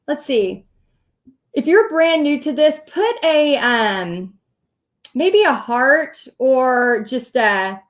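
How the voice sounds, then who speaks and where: A middle-aged woman with a Texas accent giving an informal online coaching talk in a chatty, storytelling manner full of asides. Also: sits high. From Texas, United States. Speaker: host and paint party teacher.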